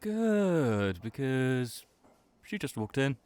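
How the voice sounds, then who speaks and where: A smooth, resonant young ram boy acted by a man in an audio roleplay. He works at a cafe and needles people playfully, taking his time over each jab. His pitch sits in the middle. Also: very animated, drawn-out words, darkish tone, dry recording. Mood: goading. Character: cheeky, teasing young male ram hybrid cafe worker.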